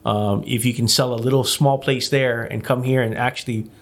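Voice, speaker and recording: Middle-aged man from Hawaii, guest in a studio chat, easygoing, halting and full of fillers, mid-pitched. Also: steady tone. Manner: conversational, many fillers and restarts. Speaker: managing director of a community group, guest. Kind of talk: casual studio interview.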